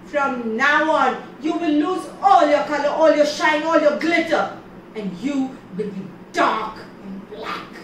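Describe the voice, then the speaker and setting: Woman playing a stern elephant-headed god, emphatic, lively pitch, roomy echo, noisy. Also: slow. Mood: furious. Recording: echoey, distant, noisy.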